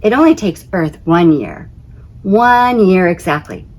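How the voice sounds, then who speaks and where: Woman, children's story read-aloud, slightly low pitch, highly varied intonation, expressive, conversational. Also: a little slow. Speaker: teacher reading a picture book aloud.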